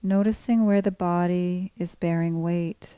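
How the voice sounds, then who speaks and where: A woman guiding a body scan meditation as a teacher, speaking calmly in measured, gently spaced phrases. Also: on the low side, clean tone, no echo. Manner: calm, unhurried, gently measured phrasing with pauses. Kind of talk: guided meditation, scripted instruction.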